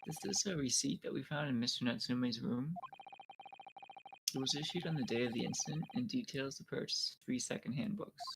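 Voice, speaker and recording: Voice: medium pitch, brisk, creaky, background noise, close to an everyday voice. A player voicing an earnest, polite young male defense lawyer who speaks hesitantly and formally, in a fan dub of a game.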